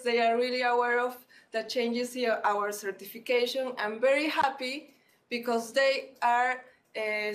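A woman in her thirties from Peru talks in a fairly high voice with a Spanish accent. She gives a semi-formal update as a guest on a video call. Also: a bit bright. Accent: Peruvian Spanish. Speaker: program manager, panel guest. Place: small plain office room, indoors, on a video call.

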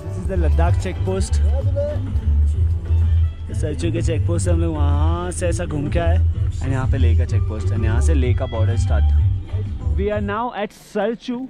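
A young man talking to a handheld camera outdoors and from a car, chatty and excited as he shows the road to viewers. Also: fairly high pitch, measured pace, long pauses, over loud music. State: short of breath at high altitude. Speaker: travel vlogger. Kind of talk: casual travel vlog, Hindi mixed with English. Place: outdoors on snowy mountain road and inside a car. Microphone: handheld camera at arm's length.

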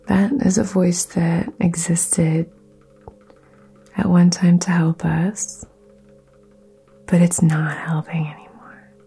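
A woman whispering soothing affirmations in an ASMR session, soft and gentle. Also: fairly low pitch, little pitch movement, slow, creaky, long pauses, breathy edge, soft background music. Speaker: ASMR host.

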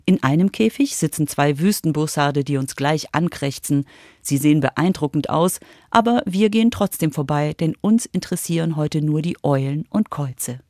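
A woman's voice: sits low, moderate pace, darkish tone, dry recording, studio quality. A woman reporter reading scripted narration for a children's radio feature.

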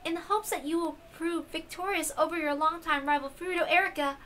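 A devoted, meek young woman, voiced by a narrator in a visual novel. She speaks very high and softly, with polite, halting deference. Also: steady tone. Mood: deferential. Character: meek, formal, devoted young woman addressing her father.

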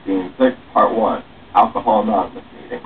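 A young man giving an animated amateur dramatic reading of his own story, with dialogue, in a small room. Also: lowish voice, brisk. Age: young adult. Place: small cluttered room indoors. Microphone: distant camera microphone. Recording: muffled phone-like webcam, distant mic, faint hiss.

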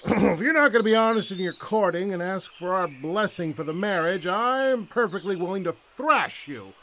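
An actor playing a pompous, overprotective older brother with old-fashioned indignation in a comic audio drama. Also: male voice, high-pitched, animated, moderate pace, a bit bright, slight background noise, poor audio. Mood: indignant, pompous.

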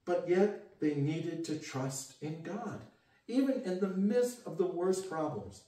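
A man's voice: high voice, animated, slow. An older man preaching an earnest sermon from his living room, recorded by a camera across the room. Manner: earnest, gesturing, rises for emphasis and drops quiet. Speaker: pastor. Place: home living room with guitars and a TV. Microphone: far camera. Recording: echoey room from far off.

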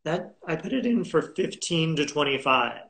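A man in his thirties talking as a guest in a video call interview, unhurried and halting with many fillers and restarts. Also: fairly high pitch, lively intonation, a bit bright. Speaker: auction house specialist, guest. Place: home room indoors. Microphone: video call webcam.

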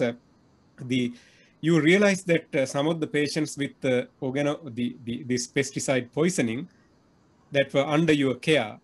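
A middle-aged man interviewing over a video call, earnest, with many fillers and restarts. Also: medium pitch. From Sri Lanka. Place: indoor room.

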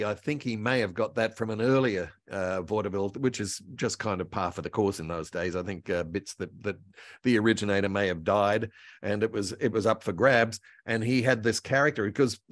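An older man reminiscing casually as a guest, in a halting, meandering, enthusiastic way. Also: on the low side, dry recording, studio quality. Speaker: guest voice actor and author. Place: indoor room at home, on a video call. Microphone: video call.

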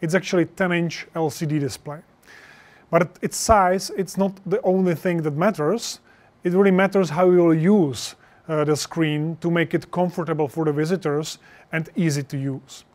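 A man in his thirties gives a product presentation into a lapel mic. His voice sits a little high for a man. He explains point by point, with non-native English phrasing. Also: fairly even intonation, normal speed, darkish tone. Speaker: product presenter for an intercom maker. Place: indoor studio stage with projection screen.

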